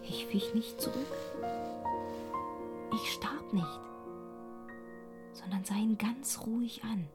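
An actress playing a sorrowful young woman who narrates in a hushed, intimate radio play style. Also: a little high, whispered. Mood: tender sorrow. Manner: hushed, slow, deliberate. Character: sorrowful young woman quietly recounting her ordeal. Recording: guitar and piano music behind. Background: guitar and piano.

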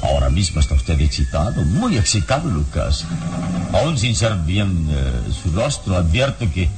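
Deep-voiced commanding radio hero. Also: male voice, very animated, over music, exaggerated voice. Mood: pressing. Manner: deliberate, authoritative interrogator with dramatic pauses. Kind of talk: radio drama acting.

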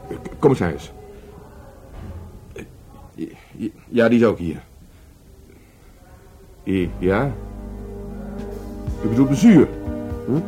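A hot-headed police sergeant with a swooping, animated delivery over music. He is peeved and mocking, often sputtering as in a radio crime play. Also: mid-range voice. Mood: surprised, clipped. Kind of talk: Dutch radio play acting.

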